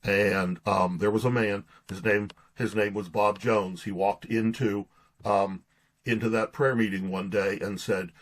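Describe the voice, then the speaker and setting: A middle-aged American man speaks in a fairly low, husky voice. He is an earnest interview guest who talks in anecdotes, voicing the dialogue as he goes. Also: fairly even intonation, a little slow. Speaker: interview guest, author and pastor. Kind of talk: conversational personal storytelling.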